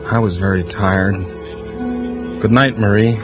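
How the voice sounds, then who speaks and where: A man's voice: fairly low pitch, fairly even intonation, lightly acted. A man playing a nervous insurance investigator. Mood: uneasy. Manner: halting, uneasy, with nervous little laughs. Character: anxious male insurance investigator and husband. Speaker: narrator and lead character. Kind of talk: 1940s radio drama acting. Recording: lo-fi vintage radio broadcast with music.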